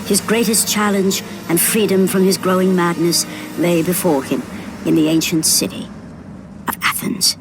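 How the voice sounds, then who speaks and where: A woman playing a solemn storyteller of Greek myth, narrating a video game in a grave, measured, portentous manner. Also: lowish voice. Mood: grave, ominous. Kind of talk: scripted dramatic narration.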